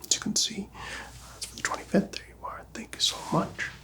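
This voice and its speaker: A man whispering gently and reassuringly in a breathy voice, playing a doctor in an ASMR medical roleplay. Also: a little fast. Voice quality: breathy, mostly unvoiced whisper.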